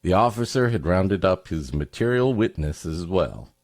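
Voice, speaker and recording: A man's voice: low voice, very animated, normal speed, darkish tone, dry recording, studio quality. A man co-hosting a podcast, mixing story reading with wry, casual comic riffs. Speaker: podcast co-host.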